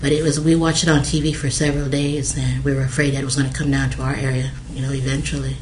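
A middle-aged woman from American Samoa speaks in a flat, level tone, pausing often with "uh" as she recalls things. The recording carries a faint hiss underneath. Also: very deep voice, rough recording. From American Samoa, raised in Hawaii. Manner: hesitant, many fillers. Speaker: interviewee. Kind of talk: oral history interview.

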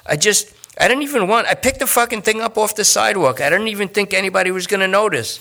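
A man voicing a jittery, talkative male junkie who rambles and pleads in fast bursts. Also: high-pitched, creaky, bright, forceful tone, pitched above the performer's own voice, heavily acted. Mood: pleading.

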